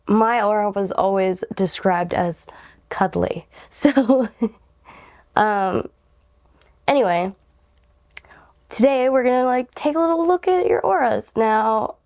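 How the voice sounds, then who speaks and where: A young woman with a raspy, hoarse voice from a cold leads a casual tarot reading. Her tone is mellow and warm. She rambles in a giggly way, filling her pauses with "like" and "um". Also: medium pitch. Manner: rambling, giggly, many fillers like "like" and "um". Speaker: tarot reader, channel host.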